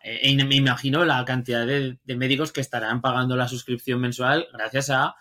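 Bright-voiced eager podcast co-host. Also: male voice, medium pitch, fairly even intonation, brisk. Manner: eager, repeats words when starting. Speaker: podcast co-host, SEO specialist. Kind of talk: casual interview chat.

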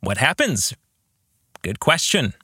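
Bright-voiced, expressive man hosting a podcast. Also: mid-range voice, husky, dry recording, clean studio sound. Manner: earnest, deliberate phrasing with rhetorical questions. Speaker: podcast host. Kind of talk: reflective religious commentary on a book.

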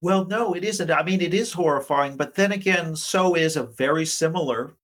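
A man's voice: a little high, moderate pace, a bit bright. A man speaking as an academic interview guest, explaining things analytically with examples. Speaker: academic guest, politics professor.

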